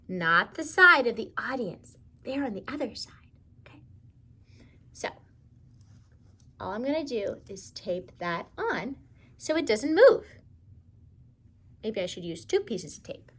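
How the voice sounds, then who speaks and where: A woman's voice: a little high, fairly bright tone. A woman giving a step-by-step how-to demonstration for children, talking simply like a teacher and often checking in with little questions. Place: indoors at home.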